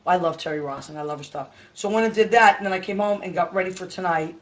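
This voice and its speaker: A hoarse woman in her fifties hosting a casual live chat from home, rambling and tired, talking into a webcam. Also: lowish voice, fast talker. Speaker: livestream host. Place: home room with Christmas tree.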